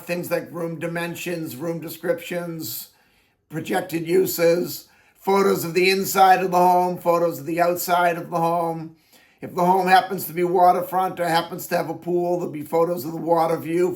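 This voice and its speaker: A high-voiced man runs through the day's home listings like a real estate agent talking straight to buyers. His voice is raspy from allergies, and he explains things plainly and at length. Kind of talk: daily listing video monologue. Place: Florida Keys.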